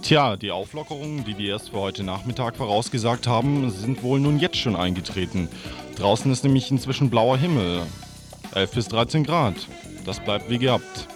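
Casual male weather presenter over loud music. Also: mid-range voice, lively intonation, normal speed.

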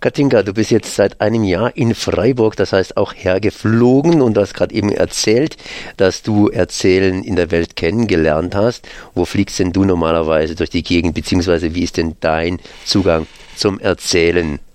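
A man's voice: sits low, moderate pace, a little mellow, dry recording. A man acting as interviewer, putting questions to a guest in a conversational style. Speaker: interviewer, host.

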